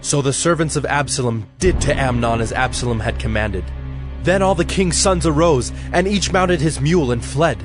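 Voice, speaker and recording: A man narrating scripture from a script in a measured, solemn storytelling manner. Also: mid-range voice, music in the background.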